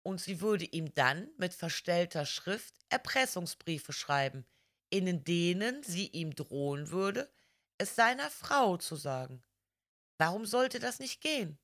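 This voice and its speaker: A woman voicing a polite, gentle male priest detective who explains things calmly in a crime audiobook. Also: on the low side, takes it slowly, full character voice. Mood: confiding.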